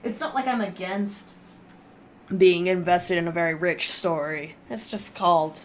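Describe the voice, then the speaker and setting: A woman's voice: lowish voice, unhurried, slight background noise. A woman hosting a game stream in casual, rambling chat, weary and self-deprecating. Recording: music behind the voice. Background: music.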